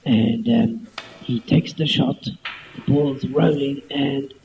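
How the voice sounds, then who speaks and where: Slow, muddled snooker commentator, put on by a male amateur, for radio comedy, on dull hissy tape. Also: medium pitch, fairly even intonation, voice pushed down. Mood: deadpan. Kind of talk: spoof sports commentary. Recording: dull, noisy homemade tape.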